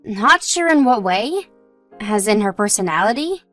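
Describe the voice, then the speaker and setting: A woman playing a gentle, modest young wife in a scripted audio story, talking in a reassuring, explanatory way. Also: medium pitch, sing-song, normal speed, no echo, faint music behind. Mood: explaining, friendly.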